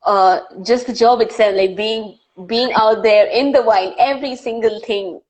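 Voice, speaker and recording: A woman's voice: fairly high pitch, unhurried, a little mellow, low-quality recording. A young woman from India with an Indian accent, a wildlife filmmaker answering questions in a live online interview, earnest and full of "uh" and restarts. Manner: earnest, many "uh" fillers and restarts. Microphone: video call.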